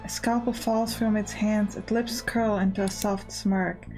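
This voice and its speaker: A woman's voice: medium pitch, little pitch movement, unhurried, darkish tone, soft background music, poor audio. A woman hosting a gaming let's play, chatting and reading game text aloud. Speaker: gaming channel host.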